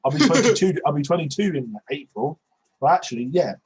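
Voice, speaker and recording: A man's voice: fairly high pitch, lively intonation, fairly quick, husky, poor audio. A man, hosting a podcast over a video call from a small bedroom on a headset, rambling with many restarts. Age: 20s. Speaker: podcast host. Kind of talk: casual video-call chat.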